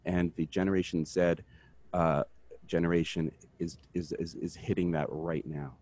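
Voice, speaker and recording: Man, very low voice, earnest, halting, fillers and restarts, community call. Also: fairly even intonation, darkish tone. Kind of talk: casual discussion.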